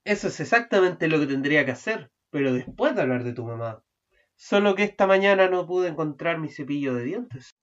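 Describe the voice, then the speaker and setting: A woman acting an exasperated, fussy husband in an amateur radio play, bickering and at times shouting. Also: low voice, expressive, a little fast. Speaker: student radio drama actor. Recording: muffled lo-fi recording.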